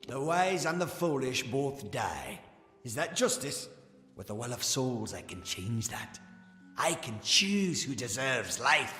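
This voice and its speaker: An actor playing a smug, menacing gnome scholar villain in a fantasy game, with a condescending, taunting, theatrical manner. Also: male voice, mid-range voice, a bit bright. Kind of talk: scripted fantasy game dialogue. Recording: echoey hall reverb, music behind.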